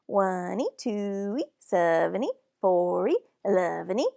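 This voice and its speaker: Woman playing an excitable, babyish toddler boy. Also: medium pitch, slow, vocal fry. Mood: excited. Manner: babyish, exclaiming. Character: excitable little toddler boy jumping and miscounting.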